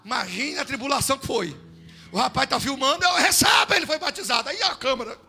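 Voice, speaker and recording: A Brazilian man preaching in church, his voice sitting very high and sounding sharp and forceful. He shifts between loud exhortation and quiet, conversational storytelling to the congregation, with soft music underneath. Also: quick pace. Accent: Brazilian Portuguese. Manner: animated, swings from shouting to hushed storytelling. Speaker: pastor. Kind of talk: sermon with storytelling. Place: church service.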